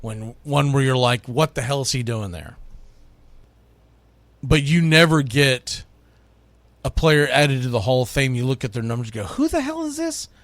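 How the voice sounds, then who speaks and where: A man's voice: mid-range voice, expressive, moderate pace, pauses often, a bit bright, no echo. A man hosting sports radio, talking informally and emphatically through an opinionated countdown in a conversational way. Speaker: sports radio host, baseball play-by-play announcer.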